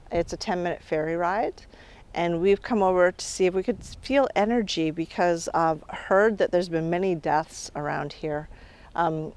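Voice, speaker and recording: A middle-aged woman working as a spirit medium, talking calmly outdoors on an island and often trailing off quietly. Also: fairly low pitch. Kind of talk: on-location reality show narration.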